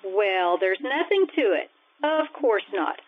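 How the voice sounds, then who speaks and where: On a tinny, band-limited recording, a woman acts out a wisecracking female schoolteacher from an old radio sitcom. She delivers everything dryly and sardonically, at a middling pitch. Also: sing-song, slow pace. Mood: dry, wry. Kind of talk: old-time radio sitcom acting and narration.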